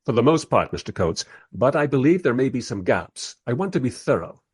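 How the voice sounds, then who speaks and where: An actor playing a mild, polite time-travelling interviewer who narrates his story wryly in a radio play, with a husky voice. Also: medium pitch, sing-song, fairly quick, a little mellow. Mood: polite, dry. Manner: wry, polite. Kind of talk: first-person radio play narration and dialogue.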